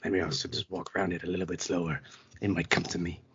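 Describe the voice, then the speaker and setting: A man's voice: low voice, fairly even intonation, fast, fairly bright tone, breathy edge, muffled recording. A British man co-hosting a podcast, chiming in with sweary, jokey quips in casual banter about films. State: tipsy. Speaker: podcast co-host.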